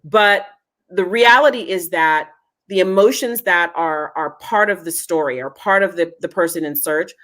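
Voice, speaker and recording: A woman's voice: on the low side, normal speed, fairly bright tone. Female guest, earnest and reassuring, explains a genealogy search service in plain talk. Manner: earnest, reassuring, advice-giving. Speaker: genealogist and foundation head, interview guest. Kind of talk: casual interview talk.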